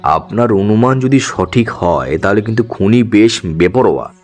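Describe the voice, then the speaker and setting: A man's voice: lowish voice, animated, normal speed, a little mellow, faint music behind, close to an everyday voice. A man playing a skeptical police officer in an audio drama, formal, matter-of-fact and authoritative. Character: businesslike male police officer, brisk and skeptical.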